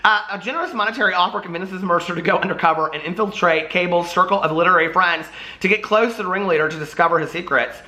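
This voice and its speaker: A man's voice: high-pitched, fast, sharp tone, rough recording. A man chatting casually as a book vlogger, gushy and playfully dramatic, often wandering off into rambling asides. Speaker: book vlogger hosting a readalong. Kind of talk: casual chat to camera.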